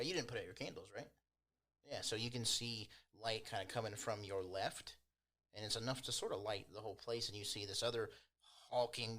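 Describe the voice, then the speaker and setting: A man's voice: lowish voice, bright, forceful tone. A man running a tabletop game as game master, narrating in an informal, improvised, chatty way. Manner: informal, chuckles while narrating.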